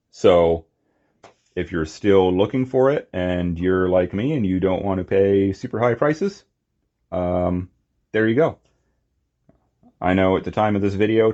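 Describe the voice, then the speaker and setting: A man's voice: low-pitched, dark tone, muffled recording. A man giving a casual, unscripted hands-on toy review, rambling and murmuring to himself as he goes. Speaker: toy collector reviewing figures.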